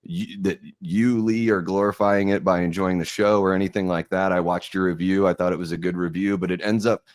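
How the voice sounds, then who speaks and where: A young American man speaks as a podcast host in a fairly low voice with a very dry sound. He talks informally and thoughtfully, often feeling his way through a point as he shares opinions and notes. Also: steady tone, a little fast, no room sound. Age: young adult.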